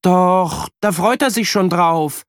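A man's voice: sits high, slow, no echo, clean studio sound, pitched above the performer's own voice. A man doing a sulky little boy. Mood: eager. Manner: mumbling, grumpy. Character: small boy, shy and sulky.

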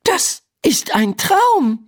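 A woman voicing a young girl in a children's story, high and youthful, exclamatory and emphatic. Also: sing-song, slow, full character voice. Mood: realising, wondering. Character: Laura, a young girl, alarmed then bossy in her dream.

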